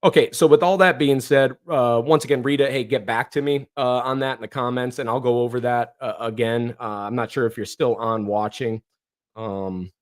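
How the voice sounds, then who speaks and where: A man with a hoarse, worn voice chats casually on a livestream and reads viewer comments aloud. He rambles, restarts his sentences and fills the gaps with ums and uhs. He grew up in northeastern Ohio, and his voice sits at a middle pitch. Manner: rambling, many fillers and restarts. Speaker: livestream host.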